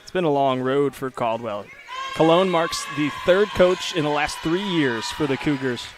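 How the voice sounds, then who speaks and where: A man calling a college volleyball match as play-by-play announcer, giving lineups and background in a steady, informative way. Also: fairly high pitch, moderate pace, noisy background. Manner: steady informative delivery with occasional stumbles over names. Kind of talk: live sports commentary.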